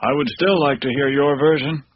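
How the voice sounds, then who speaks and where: A man's voice: mid-range voice, steady tone, normal speed, lightly acted. A man playing a courteous, probing questioner in a radio drama, formal and politely insistent. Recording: dull radio sound, faint noise.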